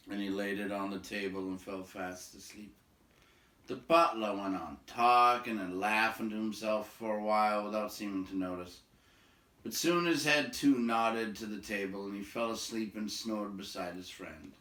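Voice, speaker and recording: A man's voice: lowish voice, fairly bright tone. A man reading a storybook aloud to listeners, moving between narration and casual remarks. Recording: roomy echo, distant from the mic.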